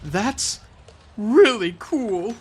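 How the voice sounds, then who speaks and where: A man plays a flirtatious fantasy swordsman, nonchalant and teasing. The pitch swings widely through cocky, casual lines, with faint music behind. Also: expressive, very slow, exaggerated voice. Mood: impressed, cocky. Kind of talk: fantasy tabletop radio drama acting.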